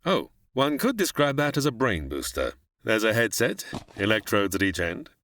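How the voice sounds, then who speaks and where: A man's voice: on the low side, sing-song, normal speed, a bit bright, slight background noise. A man playing a proud, learned older scientist who lectures formally and grandly in a radio sci-fi drama. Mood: instructive. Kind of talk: old-time radio sci-fi drama acting.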